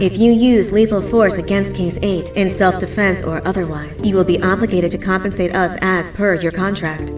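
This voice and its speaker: A woman playing a composed facility announcer, narrating an employee safety video in a horror game with measured, formal delivery. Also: mid-range voice, close to an everyday voice. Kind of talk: scripted corporate training narration in a horror game. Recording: echoey, distant, music underneath. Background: music.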